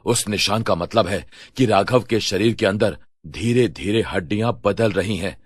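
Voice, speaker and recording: A man's voice: on the low side, normal speed, breathy edge, no echo. A man playing a solemn occult holy man who gives ominous, urgent warnings in a horror audio story.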